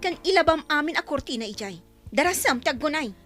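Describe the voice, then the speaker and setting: A woman's voice: high voice, lively intonation, quick pace, a bit bright, faint music behind. An actress with a Filipino accent playing a spiteful, bossy young woman who scolds her cousin in a radio drama. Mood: angry, scornful. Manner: scolding, haughty.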